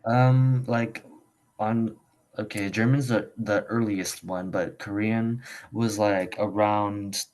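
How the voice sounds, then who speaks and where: A man's voice: fairly low pitch, steady tone, slow pace, a little mellow, low-quality recording. A young man, a student whose first language is Khmer, talking casually in a rambling way, full of fillers and restarts. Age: young adult. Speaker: student guest. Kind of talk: casual conversation.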